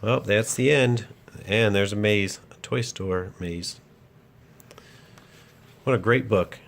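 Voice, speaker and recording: A man's voice: lowish voice, slow, a little hiss or hum. A man reading a children's picture book aloud as a storyteller and host.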